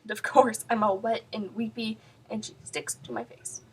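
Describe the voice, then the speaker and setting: A woman's voice: on the high side, fairly even intonation, a little hiss or hum, close to an everyday voice. A woman performing a comedic stage monologue as a flustered, wryly self-deprecating grieving daughter, with dramatic swells and drops in her delivery. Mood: horrified, comic. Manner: dramatic, halting emphasis with big swells and drops. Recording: distant mic.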